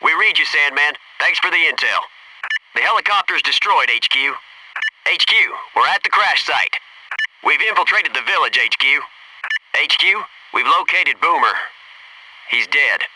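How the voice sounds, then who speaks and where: An actor playing a focused, businesslike Navy SEAL in a military video game, giving terse, clipped tactical callouts and matter-of-fact reports. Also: male voice, fairly high pitch, animated, measured pace, long pauses, far from the mic, background noise. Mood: comradely, brisk.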